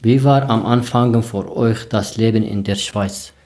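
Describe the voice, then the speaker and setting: A man in his thirties from Syria, a podcast guest, speaking carefully and deliberately with some fillers. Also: on the low side, darkish tone. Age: 30s. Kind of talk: casual podcast interview.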